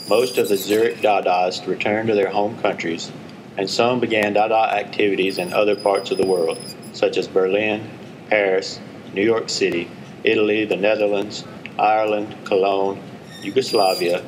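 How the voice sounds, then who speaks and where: A man delivers scripted educational narration. His voice sits somewhat low in pitch. There is noticeable noise behind him throughout the recording. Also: steady tone, moderate pace, poor audio.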